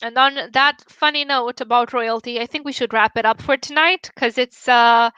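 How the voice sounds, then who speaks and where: A woman's voice: a little high, fairly even intonation, moderate pace, bright, forceful tone, smooth, no echo, highs cut off. A young woman from Romania with a Romanian accent, chatting candidly with her livestream viewers and swearing casually. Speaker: livestream host.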